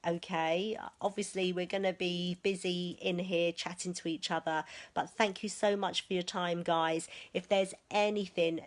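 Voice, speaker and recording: Chatty woman leading a sales team. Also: lowish voice. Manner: chatty and encouraging, reads viewers' comments aloud. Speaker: sales team leader hosting a live stream. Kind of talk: casual live-stream chat.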